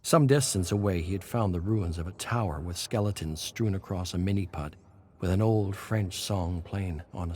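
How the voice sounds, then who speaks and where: A man with a low voice delivers dramatic horror narration. His reading is deliberate and ominous, over faint background noise.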